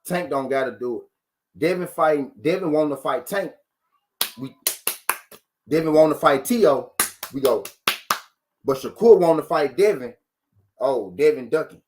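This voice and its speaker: A man's voice: on the high side, lively intonation, moderate pace, pauses often, darkish tone. A man hosting a live boxing talk show, arguing his point with rhetorical questions, repeating himself and greeting viewers from the chat.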